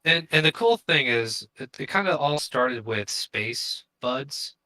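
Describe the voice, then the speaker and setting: A man speaks as a co-host on a casual live stream. His voice sits at a middle pitch with a bright, forceful edge. He talks informally, working without a script. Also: expressive, slow pace. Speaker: podcast co-host.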